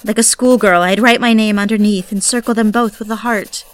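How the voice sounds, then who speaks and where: An actress playing a desperate, obsessive mistress in an audio drama, with a needy, confessional manner. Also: female voice, medium pitch, fairly even intonation, a little fast, lightly acted. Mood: wistful confession. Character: desperate, obsessive mistress pleading for her lover's attention.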